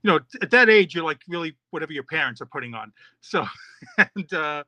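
Middle-aged man, guest podcaster; bright, slightly high voice, chatty and rambling, dry close mic. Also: animated, brisk, dry recording. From New York. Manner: chatty, rambling, many fillers and restarts. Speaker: podcast host and children's author. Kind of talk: casual interview answers.

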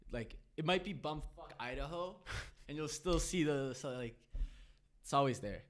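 A man's voice: a little high, expressive, moderate pace. A young man with Russian as his first language, a podcast guest chatting casually, tired, laughing and slangy as he tells stories. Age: young adult. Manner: loose, laughing storyteller, heavy slang. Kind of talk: casual chat.

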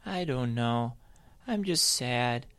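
A man's voice: mid-range voice, expressive, a bit bright, smooth, no echo, pitched above the performer's own voice. A man doing a mopey sad girl bunny. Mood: glum. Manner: slow, hesitant, mopey. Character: gloomy, hesitant female bunny.

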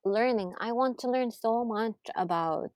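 A woman from Saudi Arabia in a casual podcast conversation, reflective and soft-spoken, often drifting quieter. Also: a little high, unhurried, dry recording, studio quality. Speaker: podcast guest.

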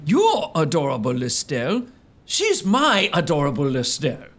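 A man's voice: a little high, huge pitch swings, moderate pace, fairly bright tone, full character voice. A man playing a hapless, timid young suitor, plaintive and comically indignant, in a gothic comedy audio drama.

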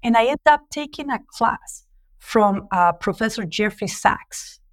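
A woman's voice: a little high, unhurried. A woman from Colombia with a Colombian Spanish accent, a guest telling her story animatedly over a call. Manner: animated storytelling, frequent laughs and restarts. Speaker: guest, social entrepreneur. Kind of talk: remote podcast interview. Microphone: call audio.

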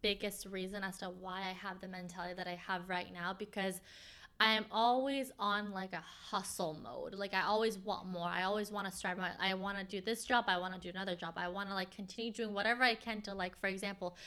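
A young woman whose first language is Farsi, hosting a podcast and talking openly in a reflective, halting way with many fillers. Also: medium pitch, fairly even intonation, bright, forceful tone, clean tone. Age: young adult. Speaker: podcast host. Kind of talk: casual heart-to-heart chat.

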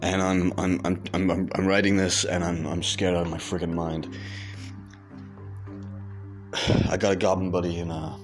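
An actor playing a frightened fugitive private eye who stammers and talks in hushed tones. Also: male voice, low voice, level tone, normal speed, long pauses, soft background music, lightly acted. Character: scared fugitive male detective, paranoid.

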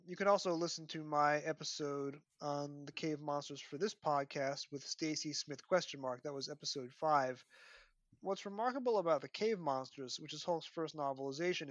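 A man's voice: fairly high pitch, fairly bright tone, no echo, lo-fi sound. A man hosting a podcast, discussing books in an analytical, enthusiastic, conversational way. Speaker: podcast host.

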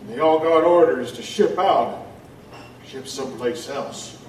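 A man's voice: medium pitch, a little slow, a little mellow, lightly acted. A man playing a frightened, agitated sailor in an audio drama, stammering and emphatic. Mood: grim, insistent. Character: shaken, frightened sailor swearing off the sea. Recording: roomy, distant, faint background noise.

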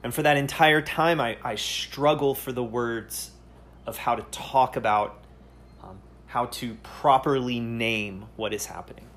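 A man hosting a solo podcast, speaking earnestly and haltingly, often pausing to search for words. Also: medium pitch, a bit bright. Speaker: podcast host.

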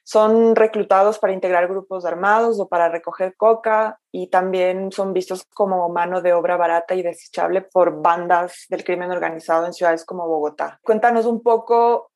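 A woman's voice: on the low side, fairly even intonation, moderate pace, hardly any pauses, darkish tone. A woman hosting a podcast, introducing the episode and asking her guest questions in a conversational way, with some restarts. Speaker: podcast host.

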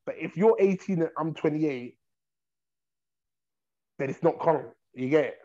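Young guy arguing his point in slang, warm mellow voice, kinda muffled recording. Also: on the high side, unhurried. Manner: slangy, animated, repeats himself while arguing. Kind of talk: casual chat.

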